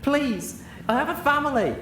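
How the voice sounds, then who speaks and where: Man voicing a high-pitched, terrified pegasus pony. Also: animated, normal speed, roomy sound, a little hiss or hum, rough recording. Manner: high, desperate pleading. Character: terrified male pegasus pony pleading for his life.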